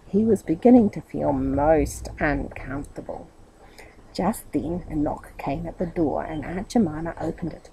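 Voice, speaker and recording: A middle-aged woman with a husky voice reads a children's story aloud in expressive narration. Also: deep voice, a little slow, poor audio. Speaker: storybook reader.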